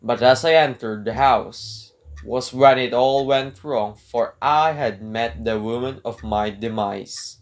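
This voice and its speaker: A man's voice: mid-range voice, slow pace. A man playing a desperate jailed burglar in a radio drama, earnest and theatrical, with formal old-fashioned phrasing. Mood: indignant, recounting. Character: desperate jailed male burglar pleading his innocence. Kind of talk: dramatic radio play monologue and narration.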